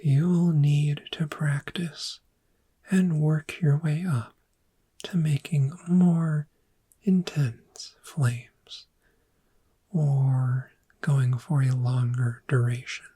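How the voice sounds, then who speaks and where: A woman playing a gentle, patient dragon therapist in a soft-spoken ASMR roleplay, with a breathy, whispery, measured and reassuring delivery. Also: low voice, fairly even intonation, full character voice. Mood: soothing, encouraging.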